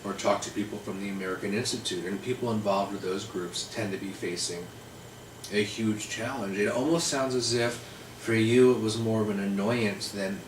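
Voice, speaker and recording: Low-voiced guy host, stutters a bit, far from the mic, echoey room w hiss. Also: fairly even intonation, normal speed. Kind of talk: casual chat.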